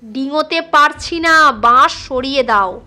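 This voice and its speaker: A woman's voice: sits high, slow pace, bright, forceful tone, heavily acted. A woman voicing a curt, brooding village husband who falls under a spirit's hold, in terse blunt phrases. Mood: demanding. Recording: music behind the voice.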